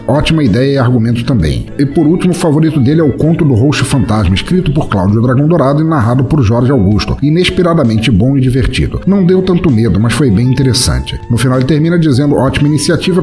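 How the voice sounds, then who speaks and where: A man's voice: medium pitch, darkish tone. A Brazilian man speaking as a podcast host, chatting informally and chummily with his listeners in casual commentary. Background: music under the voice.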